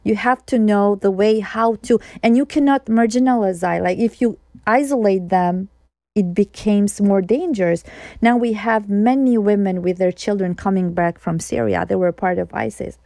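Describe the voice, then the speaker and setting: A woman's voice: mid-range voice, normal speed, mellow, dry recording, studio quality. A woman from Kosovo, speaking English as a guest journalist in an interview, earnest and explanatory, often restarting her sentences. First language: Albanian. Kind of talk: interview conversation.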